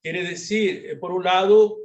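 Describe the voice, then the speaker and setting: Man, Portuguese-tinged Spanish accent, high voice, slow delivery, halting with fillers, academic lecture, video call. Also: fairly even intonation. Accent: Portuguese-influenced Spanish. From Brazil. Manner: halting, many filler sounds.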